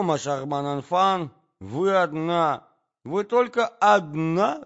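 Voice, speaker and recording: A doddering, vain old aristocrat played by a male reader pleads in a raised, slow voice that rises and falls freely. He sounds muddled and plaintive, as a storybook prince. The recording is a little dull. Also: fairly high pitch, gravelly, pitched above the performer's own voice, exaggerated voice. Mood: maudlin gratitude. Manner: muddled, plaintive, lingering on words, affected French phrases.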